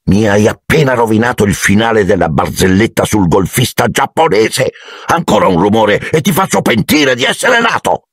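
A middle-aged bully of an uncle acted by a male narrator, growling through clenched teeth in a storybook reading. He is irritable and threatening, with gravelly grit and pitch that swings upward in anger. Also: expressive, moderate pace, few pauses, fairly bright tone, no room sound, studio quality, heavily acted. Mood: seething.

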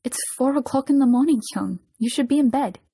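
A woman's voice: medium pitch, fairly even intonation, fairly quick, mellow, breathy edge, dry recording, clean studio sound, lightly acted. A woman voicing an earnest, caring young male pop idol in a story, insistent and reassuring in manner. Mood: worried, insistent.

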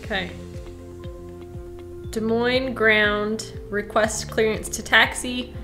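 Slow-talking young woman, hesitant. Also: mid-range voice, a bit bright. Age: young adult. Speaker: student pilot. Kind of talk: hesitant practice and casual chat. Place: couch in a living room, indoors.

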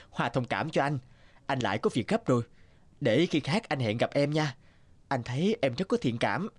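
A man voicing a burly, easygoing young boss with a gangster air in an animated drama, casual and self-assured. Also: medium pitch, moderate pace, husky. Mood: apologetic. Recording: studio voice over background music.

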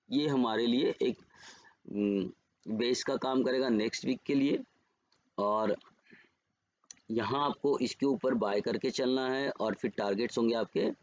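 A man with an Indian accent giving trading levels over charts as a market educator, unhurried and pausing often to correct himself. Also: medium pitch, darkish tone, lo-fi sound. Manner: unhurried, pauses and self-corrects while reading levels. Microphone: screen recording voice-over.

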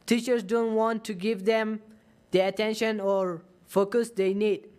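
A man's voice: high-pitched, level tone, measured pace, a little mellow, clean tone. A teenage boy who grew up in Turkey and speaks Dari natively, reading a prepared public comment at a podium microphone in a large council chamber. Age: teens.

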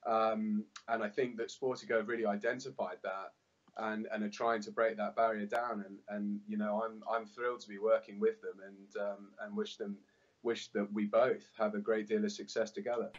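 A man's voice: on the low side, level tone, normal speed. Man on a muffled video call. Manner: earnest, repeats words while thinking. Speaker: interview guest. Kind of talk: remote interview answers. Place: indoor room with glass doors. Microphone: laptop webcam on video call. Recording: dull lo-fi video call audio.

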